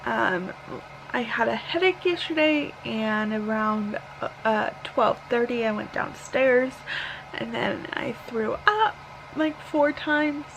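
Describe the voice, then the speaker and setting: Woman in her thirties, fairly high voice, slightly hoarse and tired, slow halting delivery, casual vlog chat, rambling. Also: a bit bright, slight background noise, low-quality recording. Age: 30s. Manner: halting, rambling, self-correcting. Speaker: book vlogger. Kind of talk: casual reading update vlog.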